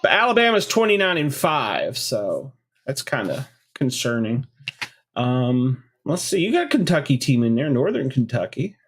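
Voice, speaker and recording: A man's voice: medium pitch, wildly swooping pitch, normal speed, slightly rough. A man co-hosting a casual chat show, rambling about college basketball while getting over a chest cold. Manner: rambling, repeats filler words. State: congested from a cold. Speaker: co-host.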